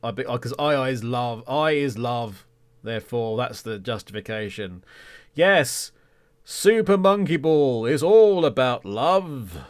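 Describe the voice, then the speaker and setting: A man's voice: medium pitch, animated, a little slow. A man hosting a podcast in casual solo talk, with a rambling, self-deprecating and mock-indignant humour. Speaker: podcast host.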